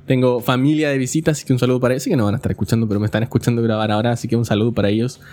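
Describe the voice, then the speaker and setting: A man's voice: medium pitch, fairly even intonation, very fast, a little mellow. A man from Chile with a Chilean Spanish accent hosting a casual esports podcast, explanatory and given to joking. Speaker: podcast host.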